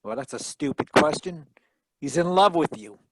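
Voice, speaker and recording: An actor playing a brusque, world-weary private detective in 1940s radio style, terse and wry. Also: male voice, a little high, expressive, moderate pace, dry recording, low-quality recording. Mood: brusque, pressing. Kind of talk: 1940s radio detective acting with first-person narration.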